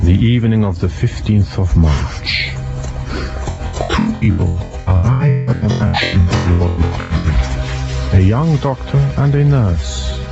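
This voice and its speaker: Deep-voiced, theatrical detective. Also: male voice, creaky, dull audio, exaggerated voice. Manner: deliberate, mock-courteous, accusatory. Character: shrewd male detective exposing a murderess, theatrical, self-assured. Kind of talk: audio drama acting.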